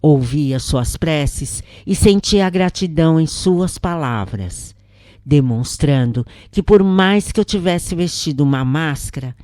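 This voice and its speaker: Slow, low-voiced Brazilian woman narrator. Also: dark tone, no echo, studio quality. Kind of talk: slow scripted first-person narration of a spiritual account.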